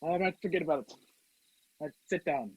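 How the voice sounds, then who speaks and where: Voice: mid-range voice, brisk, darkish tone, husky, dry recording, natural voice. An actor playing Richard Hannay as a brusque, sardonic man on the run in an old-fashioned radio play, recorded muffled over a video call. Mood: menacing, sarcastic. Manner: commanding, sarcastic.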